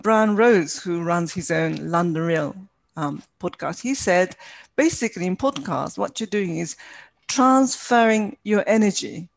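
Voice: mid-range voice, unhurried, vocal fry. A podcast host interviewing a guest, pressing with follow-up questions.

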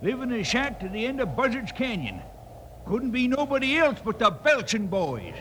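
A folksy, drawling old rancher. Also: male voice, extremely high pitch, very animated, normal speed, fairly bright tone, a little hiss or hum, heavily acted. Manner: drawling, rambling. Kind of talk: 1950s radio acting.

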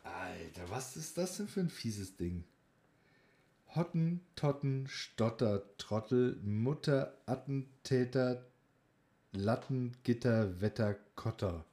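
A man's voice: mid-range voice, lively intonation, slow pace, dark tone. A man co-hosting a podcast, chatting in an informal, bantering way. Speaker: podcast co-host; student in a speech training class. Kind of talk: casual podcast chat with tongue-twister game.

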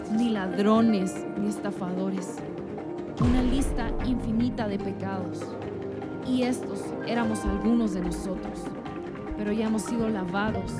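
Female promo narrator, mid pitch, emphatic rhetorical delivery, over a loud music bed. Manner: rhetorical questions, slow emphatic list.

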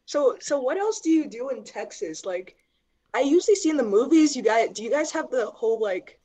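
A woman's voice: sits high, animated, a little fast, dark tone. Giggly young woman from Canada. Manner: giggly, joking, lots of fillers. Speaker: conversation partner. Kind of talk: casual chat.

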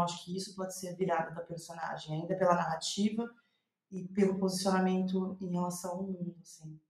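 A woman's voice: mid-range voice, darkish tone. Brazilian woman in an echoey room, thinking aloud. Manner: thinking aloud, halting and searching for words. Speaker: playwright, interview guest. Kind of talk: reflective interview answers, spontaneous. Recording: roomy, echoing sound.

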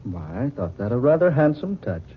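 A man's voice: medium pitch, big pitch swings, fairly quick, breathy edge. A man acting in a 1940s radio drama as a suave, cold-blooded adulterer, with an oily, mocking manner. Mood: smug, mocking. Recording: muffled, noisy 1940s radio recording.

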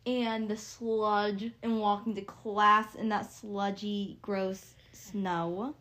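A woman's voice: mid-range voice, level tone, very slow, a bit bright. Easygoing young woman co-host. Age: young adult. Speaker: podcast co-host. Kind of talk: casual chat.

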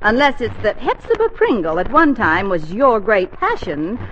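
A woman's voice: medium pitch, big pitch swings, normal speed, fairly bright tone. A woman with an American accent playing a suspicious, teasing middle-aged wife in a 1940s radio comedy, arch and pointed. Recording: noisy muffled 1940s radio broadcast.

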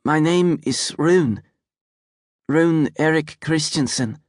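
A man's voice: fairly high pitch, slow, dry recording, clean studio sound, voice pushed up. A man doing a prickly young boy. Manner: snappish, proud.

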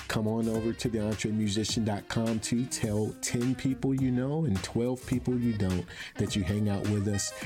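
A man hosting a conversational podcast interview in an effusive, encouraging manner. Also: fairly low pitch.

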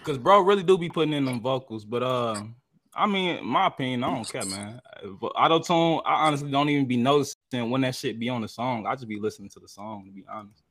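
A man's voice: mid-range voice, quick pace, a bit bright. A man hosting a casual group chat, talking in loose, slangy, teasing speech. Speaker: podcast host.

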